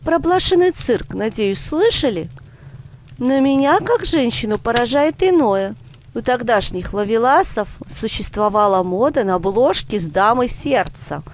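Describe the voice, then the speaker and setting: Lady doing a teasing older granny telling a tale, theatrical, very animated pitch. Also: a little hiss or hum. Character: theatrical older grandmother, teasing, knowing storyteller.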